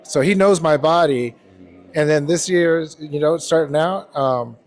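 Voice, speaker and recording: Hesitant man in his fifties. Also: on the high side. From California. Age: 50s. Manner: measured, hesitant, many "um" and "you know". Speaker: bodybuilding competitor. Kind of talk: casual interview.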